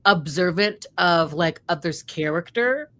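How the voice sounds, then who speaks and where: A woman's voice: fairly low pitch, expressive, measured pace, fairly bright tone. Woman chatting casually about a book. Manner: conversational, uses "like" and "you know" heavily. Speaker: book discussion panelist.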